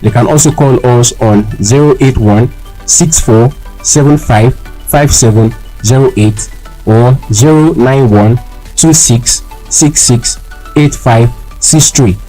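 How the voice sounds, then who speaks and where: A man's voice: mid-range voice, takes it slowly, mellow, rough recording. A man with a Nigerian accent speaking as a company spokesman in a scripted, formal radio promotion. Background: music.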